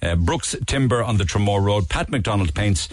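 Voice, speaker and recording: A man with a Cork Irish accent hosting a radio show, reading listener shout-outs and teasing callers. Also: lowish voice, lively intonation, no echo, studio quality. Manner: brisk, jokey, teasing. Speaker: radio host. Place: radio studio.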